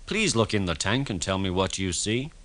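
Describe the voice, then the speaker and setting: A man's voice: low voice, sing-song, moderate pace, fairly bright tone. A man voicing a polite, puzzled railway engine inspector in a children's storybook. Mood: polite, grave.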